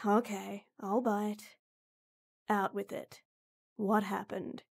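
A woman playing a gruff but caring tomboy best friend in an intimate audio roleplay, blunt and exasperated, with frequent sighs. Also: medium pitch, level tone, drawn-out words, full character voice. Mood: scolding, concerned.

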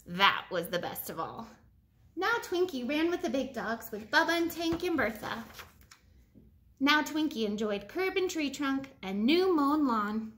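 A woman with a high voice reads a children's story aloud. Her intonation swings widely, and she phrases each line deliberately, leaning on key words for young listeners. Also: fairly bright tone. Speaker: teacher reading a picture book aloud to pupils. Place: living room at home, indoors.

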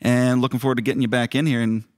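A man's voice: mid-range voice, level tone, racing, darkish tone. A man co-hosting a podcast in casual chat, often doubling up his words. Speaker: director and podcast co-host. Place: large indoor studio room. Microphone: podcast microphone on a boom arm.

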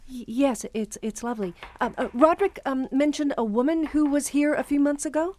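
A woman's voice: high-pitched, a little fast, heavy background noise, lightly acted. A woman playing an anxious, suspicious young honeymooning wife in an audio drama. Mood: uneasy, polite. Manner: hesitant, anxious, insistent.

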